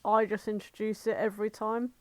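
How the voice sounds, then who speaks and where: A woman's voice: medium pitch, steady tone, normal speed, a little mellow, no room sound. A woman co-hosting a podcast in casual, teasing banter, blunt and sardonic. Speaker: podcast co-host.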